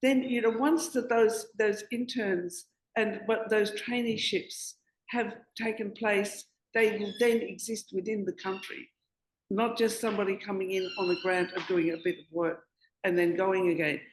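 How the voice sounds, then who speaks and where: Woman, panelist, earnest, self-correcting, middle pitch, echoey room. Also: steady tone, normal speed. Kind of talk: panel discussion.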